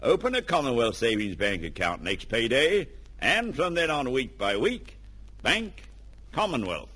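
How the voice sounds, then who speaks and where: A man's voice: medium pitch, very animated, measured pace, noisy background. A man reading old-time radio advertising as an announcer, earnest and persuasive. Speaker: announcer and sponsor spokesman.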